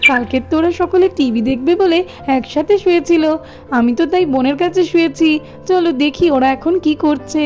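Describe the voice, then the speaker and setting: A woman's voice: high-pitched, fairly quick, warm tone, faint music behind, close to an everyday voice. A woman voicing a caring mother who chats to herself while tidying, in a cartoon story. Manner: chatty, talks to herself. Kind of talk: cartoon voice acting.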